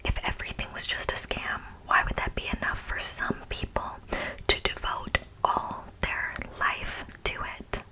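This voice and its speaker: A woman hosting whispered ASMR in a breathy, unvoiced whisper, speaking informally and emphatically and repeating phrases. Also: measured pace, poor audio. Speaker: ASMR video host. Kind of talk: whispered ASMR, part reading, part casual commentary.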